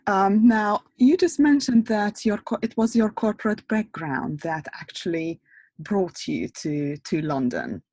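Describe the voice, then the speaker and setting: A woman's voice: mid-range voice, animated, measured pace, warm tone, husky, no echo, studio quality. A woman hosting a podcast interview, asking encouraging questions. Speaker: podcast host.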